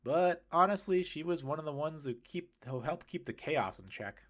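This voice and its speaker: A skeptical, casual man, played by a male narrator in a storybook reading. His voice sits raised in pitch, and he talks in an informal, emphatic, teasing way. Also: animated, normal speed, a bit bright. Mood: wry.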